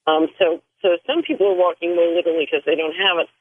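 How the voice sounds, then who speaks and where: A woman's voice: lowish voice, steady tone, fast, no room sound. A woman as a radio guest calling in, explaining thoughtfully with some restarts. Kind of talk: phone-in interview. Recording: tinny phone line.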